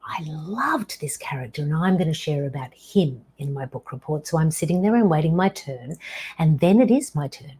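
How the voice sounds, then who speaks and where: A woman in her fifties hosting her own show, telling a personal story slowly and deliberately, with dramatic pauses. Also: deep voice, animated, warm tone, breathy edge. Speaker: podcast host.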